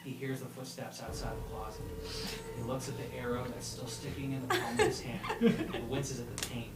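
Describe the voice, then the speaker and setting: A man narrating stage directions from a comedy screenplay at a live table read, in a halting, informal way. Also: medium pitch, big pitch swings, creaky, over loud music. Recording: roomy, distant pickup with loud room noise.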